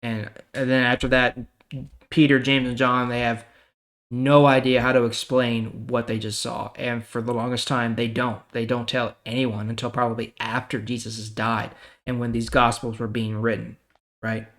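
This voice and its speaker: A man's voice: mid-range voice, little pitch movement, moderate pace, a bit bright. A man reading the Bible and explaining it in a casual, conversational way as host.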